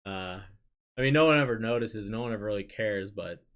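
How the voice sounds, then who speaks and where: A young man chatting loosely with his viewers, rambling and trailing off into quiet asides. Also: fairly low pitch, fairly quick. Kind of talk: casual rambling chat.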